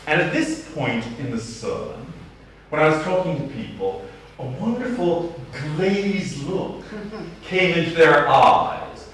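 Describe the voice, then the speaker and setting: A man's voice: on the high side, lively intonation, fairly bright tone, slight background noise. A middle-aged man with a Boston accent, an archdeacon telling wry stories in a humorous sermon. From Boston, USA. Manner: wry anecdotal storytelling, slow deliberate delivery. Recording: roomy church sound from a distant mic.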